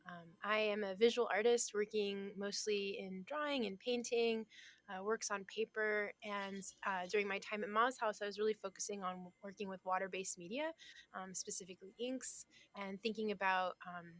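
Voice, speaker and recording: A young adult woman from Florida, talking as a guest artist in a casual interview, thoughtful, with many pauses and soft laughs. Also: mid-range voice, fairly even intonation, dry recording.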